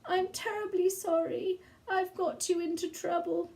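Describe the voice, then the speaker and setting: A woman's voice: very high-pitched, steady tone, measured pace, a little mellow, pitched above the performer's own voice. A woman voicing an apologetic small boy from a children's storybook, earnest and pleading. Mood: tearful, remorseful.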